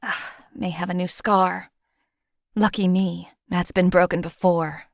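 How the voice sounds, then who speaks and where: A woman voicing a cold, calculating female assassin companion in a game, with a calm, detached, clipped delivery. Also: lowish voice, measured pace, gravelly, no echo, clean studio sound. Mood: menacing. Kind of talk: game voice lines.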